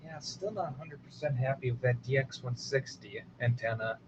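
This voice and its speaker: Some guy tinkering with radios, mumbly musing to himself, dull audio w static behind him. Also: moderate pace. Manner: low-key musing to himself. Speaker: radio hobbyist demonstrating receivers. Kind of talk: casual, off-the-cuff equipment demo. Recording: dull lo-fi sound with radio noise. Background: shortwave radio static.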